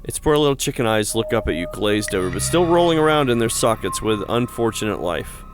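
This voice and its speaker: A man's voice: fairly low pitch, very animated, brisk, music in the background. A man hosting a gaming video, reading a horror story aloud expressively and breaking into casual banter. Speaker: gaming channel host and narrator.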